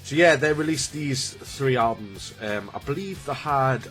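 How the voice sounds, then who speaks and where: A man's voice: medium pitch, expressive, unhurried, fairly bright tone, faint music behind. A man, chatting casually to camera as a YouTuber, rambling and restarting, a bit snotty from a cold. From Newcastle, England. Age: 20s. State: has a cold, slightly snotty.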